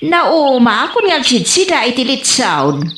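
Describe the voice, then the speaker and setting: A comical Ilocano village mother, acted by a woman, folksy and nosy in radio comedy style. Her high voice swoops with animation through exclamations in an Ilocano accent. The recording is roomy and echoey. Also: sing-song, unhurried, fairly bright tone, slight background noise, heavily acted. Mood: grumbling.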